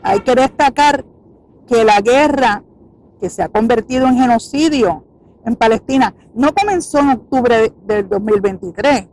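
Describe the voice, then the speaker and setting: Earnest Puerto Rican woman, fairly high voice. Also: measured pace, darkish tone, low-quality recording. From Puerto Rico. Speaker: activist group founder. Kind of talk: public speech to camera. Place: outdoors in front of a building.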